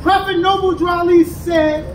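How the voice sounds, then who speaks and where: An American man teaching a street crowd in call-and-response style, emphatic and rising to shouts. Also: extremely high pitch, little pitch movement, moderate pace. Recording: echoey and distant, with crowd and traffic. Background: crowd responses and passing vehicles.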